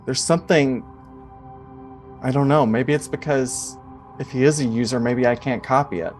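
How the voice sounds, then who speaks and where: Man, game master, mid-pitched, casual halting narration, improvised tabletop storytelling, music underneath. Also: a little mellow. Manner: casual, halting, conversational delivery.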